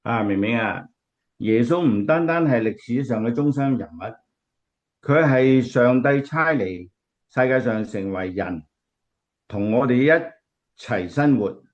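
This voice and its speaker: A man playing a gentle, devout grandfather who explains faith in a Cantonese radio drama. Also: mid-range voice, highs cut off, lightly acted.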